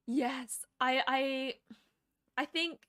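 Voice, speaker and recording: Bright high-voiced woman streamer. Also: drawn-out words, clear, resonant tone. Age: 20s. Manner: chatty, laughs often, animated. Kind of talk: casual chat.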